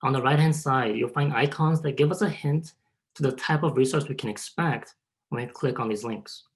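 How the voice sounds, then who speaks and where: A man's voice: mid-range voice, moderate pace. Male programmer leading a webinar demo. Mid-range voice, polite, step-by-step explanatory delivery. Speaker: programmer demonstrating a website. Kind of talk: software demo walkthrough, prepared explanation. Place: online video call.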